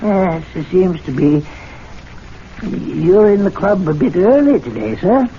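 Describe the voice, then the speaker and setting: An actor playing an excitable, aggrieved club servant with a spiteful streak in a 1970s radio mystery drama, theatrical, muttering and exclaiming. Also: male voice, sits high, slow, heavily acted. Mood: servile, sly. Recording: dull, noisy 1970s radio recording.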